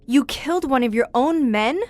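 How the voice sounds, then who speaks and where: A woman's voice: sits high, moderate pace, darkish tone, dry recording, lightly acted. An actress playing an inquisitive, educated woman visitor who questions formally in an audio horror drama. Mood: shocked. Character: inquisitive, educated woman visitor, composed but easily appalled.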